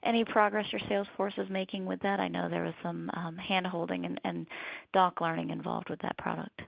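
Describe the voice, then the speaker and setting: A woman's voice: on the low side, little pitch movement, brisk, gravelly, no room sound. A woman working as a financial analyst, putting questions to executives on an earnings call. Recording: dull, dry call line.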